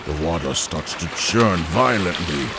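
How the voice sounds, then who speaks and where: A man's voice: deep voice, animated, measured pace, music in the background. A man narrating a scripted cartoon story in a dramatic style.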